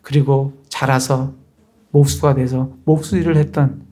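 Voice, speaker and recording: Mellow-voiced pastor preaching. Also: male voice, mid-range voice, little pitch movement, a little slow. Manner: conversational preaching with rhetorical questions. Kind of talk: sermon.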